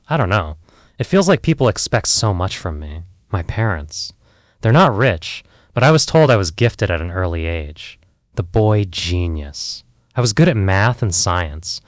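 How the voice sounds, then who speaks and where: Low male voice, a man acting, audio play, wry self-doubting therapy client, candid, rambling, hesitant, animated pitch. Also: dry recording, clean studio sound.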